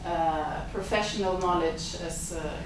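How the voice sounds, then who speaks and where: A woman's voice: on the low side, a little slow, a little hiss or hum. Hesitant Italian-native woman in echoey room. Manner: hesitant, many filler sounds. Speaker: symposium host and lab director. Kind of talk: opening remarks at an academic event. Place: university seminar room. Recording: echoey lecture room, mic far off.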